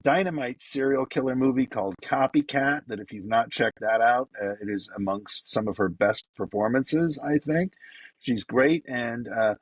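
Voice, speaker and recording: A man hosting a fan podcast, giving casual, effusive birthday shout-outs, rambling with lots of fillers. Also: medium pitch, moderate pace, breathy edge, no room sound, rough recording. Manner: effusive and enthusiastic, loose with fillers. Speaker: podcast host.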